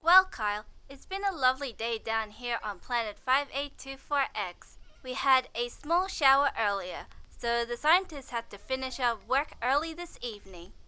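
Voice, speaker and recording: Bright, high-voiced woman as a cheerful field reporter. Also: animated, moderate pace, few pauses, clear, resonant tone. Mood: cheerful, composed. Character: cheerful female field reporter on an alien planet. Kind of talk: science fiction audio drama news report.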